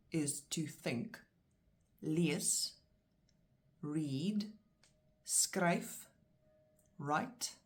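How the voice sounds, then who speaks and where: Slow, low-voiced South African woman teaching. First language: Afrikaans. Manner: slow, clear word-by-word pronunciation. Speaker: language teacher. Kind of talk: vocabulary lesson.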